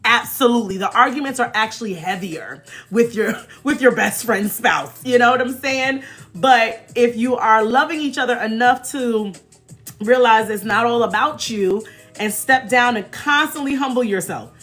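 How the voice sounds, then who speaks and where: An American woman in her thirties chatting casually as a livestream host, telling stories in an animated, playful, teasing way. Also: a little high, normal speed, soft background music. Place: small indoor room in front of a banner.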